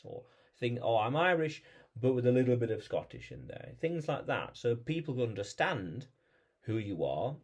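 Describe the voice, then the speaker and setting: A man's voice: fairly low pitch, darkish tone. A man vlogging alone, thinking aloud about identity, with frequent restarts and repeated phrases. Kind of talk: reflective solo monologue.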